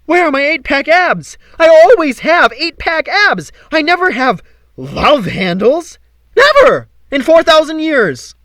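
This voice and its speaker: A man's voice: very high-pitched, very animated, unhurried, a bit bright, no echo, voice pushed up, full character voice. Man acting a vain, melodramatic fallen god teenager. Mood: outraged despair. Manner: theatrical, indignant, whiny. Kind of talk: first-person audiobook character acting.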